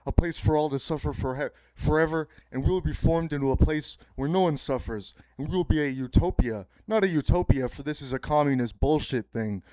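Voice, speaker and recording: A man's voice: fairly high pitch, a little fast, few pauses, a little mellow, no room sound, low-quality recording. A man hosting a solo podcast, delivering a rambling, grandiose, pseudo-philosophical monologue that repeats itself and breaks into sudden profanity. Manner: repetitive, circling phrasing. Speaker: podcast host.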